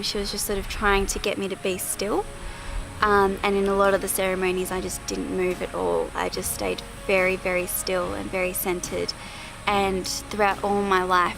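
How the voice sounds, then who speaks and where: A woman speaks in a calm, measured, even tone, with plenty of vocal fry. Her pitch barely moves, and music plays behind her. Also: poor audio. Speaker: ceremony participant. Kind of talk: personal testimony.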